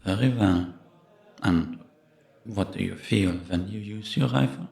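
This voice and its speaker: An actor playing the ghost of an old priest in an audio drama, serene, solemn and gently probing, in a measured delivery. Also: male voice, fairly low pitch, vocal fry. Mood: probing, calm. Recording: echoey stone-room reverb.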